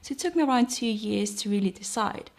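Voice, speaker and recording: A woman's voice: a little high, lively intonation, fairly quick. A young woman from Denmark with a Danish accent, reflectively telling her personal conversion testimony. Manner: reflective, earnest. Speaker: convert sharing her story.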